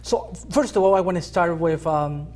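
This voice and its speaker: A man hosts an interview in a small school studio, his voice sitting a little high. He talks haltingly, repeating words as he works his way into each question. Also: darkish tone. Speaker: talk show host. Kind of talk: interviewing a guest.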